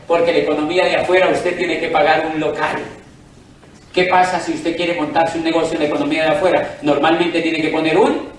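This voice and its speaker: A man's voice: on the high side, recorded from a distance, a little hiss or hum. A Colombian man giving a persuasive sales presentation to a live audience, asking them questions directly. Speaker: business presenter. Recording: echoey room, speaker away from the mic.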